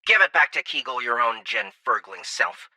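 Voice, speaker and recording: A man voicing a gruff, hot-tempered alien military commander who barks scolding orders, in an audiobook. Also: medium pitch, very animated, normal speed, pushed and bright, no echo, voice pushed up, exaggerated voice. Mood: irritated, scolding. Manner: barking, scolding, clipped orders. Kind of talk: audiobook character acting.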